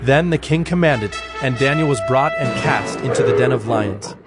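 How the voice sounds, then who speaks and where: A man narrating scripture as a formal, measured storyteller in a dramatized production. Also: medium pitch. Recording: music bed behind the voice.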